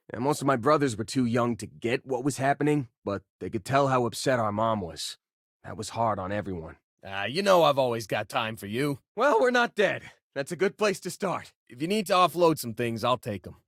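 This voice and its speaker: Young American space miner in a game: easygoing, wry wisecracker, conversational, mid-pitched, dry close sound. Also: male voice, fairly bright tone, no room sound. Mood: wistful, candid. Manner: casual, wry, joking. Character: easygoing, wisecracking male space miner who collects rocks. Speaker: video game voice actor. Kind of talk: conversational video game character dialogue.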